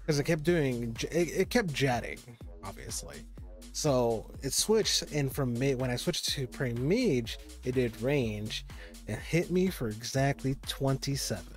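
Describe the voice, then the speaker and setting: A man's voice: medium pitch, expressive, unhurried, faint music behind. A young man streaming a video game, chatting casually with viewers, rambling and stopping and restarting, with the odd curse. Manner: rambling, stop-start, swears under his breath. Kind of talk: casual live-stream chat while gaming.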